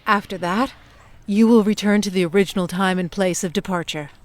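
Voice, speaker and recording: A woman acting as a prim emissary from the future in an audio play. She speaks at a middle pitch with formal, exact wording, staying composed and persuasive as she lays out terms. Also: fairly even intonation, fairly quick, dry recording, lightly acted. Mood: precise, instructive. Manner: formal, precise, businesslike, persuasive.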